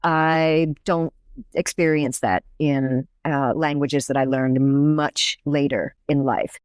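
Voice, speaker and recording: A woman's voice: low-pitched, fairly even intonation, slow, clean tone, no echo. Woman explaining research, dry close mic. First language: English. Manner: thoughtful, explanatory, frequent restarts. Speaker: professor, guest researcher. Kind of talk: conversational interview.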